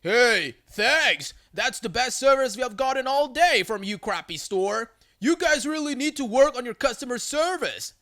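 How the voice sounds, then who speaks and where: A man's voice: very high-pitched, lively intonation, normal speed, hardly any pauses, bright, forceful tone, pitched above the performer's own voice, exaggerated voice. A man voicing a rude, entitled middle-aged customer in a narrated story, complaining in a whiny, sarcastic way. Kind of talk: acted quote in a narrated story.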